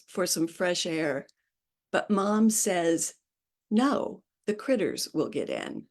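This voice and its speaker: A woman reading her own poetry aloud at a live event, with a slow, measured delivery and hesitant asides between poems. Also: fairly low pitch, darkish tone. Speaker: poet reading her work.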